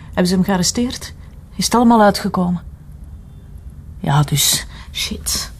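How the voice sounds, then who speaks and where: A woman's voice: lowish voice, animated, unhurried, breathy edge, full character voice. Nervous, defensive Flemish woman. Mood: nervous, evasive. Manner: hesitant, defensive. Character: evasive, nervous young female accomplice under interrogation. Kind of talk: audio drama acting.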